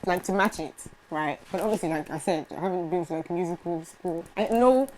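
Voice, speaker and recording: A young woman, a gospel singer, answering interview questions in a soft, hesitant way with many fillers. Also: fairly low pitch, fairly quick, slight background noise, poor audio.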